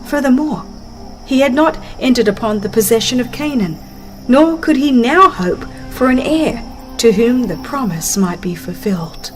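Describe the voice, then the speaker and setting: A woman narrating a religious book in a solemn, measured, dramatized storytelling style. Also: fairly high pitch, expressive, poor audio. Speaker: audiobook narrator. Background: background music.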